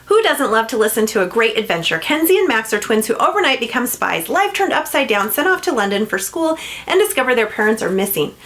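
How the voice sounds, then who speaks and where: A woman's voice: on the high side, big pitch swings, a little fast, a bit bright. A woman giving an upbeat, enthusiastic scripted promotional narration, like a children's book seller. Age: adult, parent of a school-age child.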